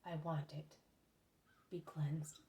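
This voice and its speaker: A woman reading aloud as a calm, loving male teacher who speaks with quiet authority. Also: a little slow, close to an everyday voice. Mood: gentle. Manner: low, gentle and commanding.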